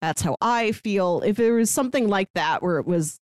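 A woman's voice: medium pitch, lively intonation, normal speed, darkish tone, no room sound, studio quality. A woman co-hosting a podcast in a casual, conversational film review chat. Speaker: podcast co-host.

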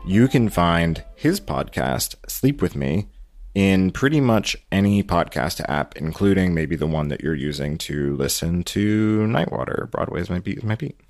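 A man with a very low voice hosts a podcast in a casual, thoughtful style. The sound is close and dry. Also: expressive, measured pace, darkish tone, no echo, faint music behind. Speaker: podcast host.

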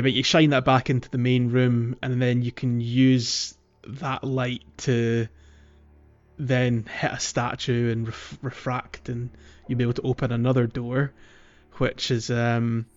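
A man hosts a casual gaming podcast, talking through gameplay in an informal way. His voice sits at a middling pitch, and the recording is slightly dull and lo-fi. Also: slow pace, soft background music. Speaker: podcast host. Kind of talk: casual video game discussion, explaining gameplay.

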